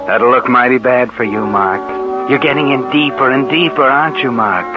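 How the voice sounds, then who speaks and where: A man's voice: mid-range voice, moderate pace, loud music behind the voice, natural voice. An actor playing a sinister, taunting narrator who needles a guilty man in a 1940s radio suspense drama. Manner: taunting, insinuating, addresses the character directly. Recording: muffled old 1940s radio recording.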